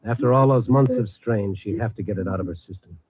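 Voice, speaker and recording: An actor playing a world-weary, sympathetic newspaper columnist in a 1940s radio drama. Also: male voice, on the low side, fast, slightly rough. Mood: world-weary, soothing. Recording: tinny, narrow-band vintage radio recording.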